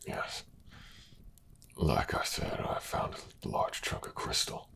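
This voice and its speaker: An actor playing a weary, dying miner in a fantasy audio drama, pleading in a weak, halting, breathy half-whisper. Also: male voice, pushed and bright, a little hiss or hum. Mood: weary resignation. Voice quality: gravelly, breathy rasp.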